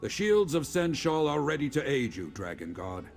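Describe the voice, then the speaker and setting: A man playing a remorseful, resolute middle-aged general in a video game, with a gravelly voice and formal, measured military speech. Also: on the high side, big pitch swings, soft background music. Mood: contrite, resolute. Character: remorseful, resolute veteran male general, father of a grown daughter. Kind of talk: scripted video game character dialogue.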